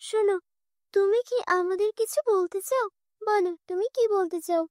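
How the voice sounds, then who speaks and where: Cartoon casting: a woman as a fairy with kindly authority, questioning, very high voice, close dry sound. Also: normal speed, darkish tone, no room sound. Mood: questioning, gentle authority. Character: graceful female fairy, commanding yet kindly. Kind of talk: children's cartoon dialogue.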